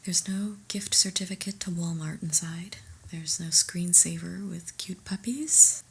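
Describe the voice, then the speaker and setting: A woman whispering an ASMR roleplay as a prim, exasperated new office boss, deadpan and politely condescending. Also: fairly low pitch, a little slow, slightly rough, full character voice. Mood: patient reproach.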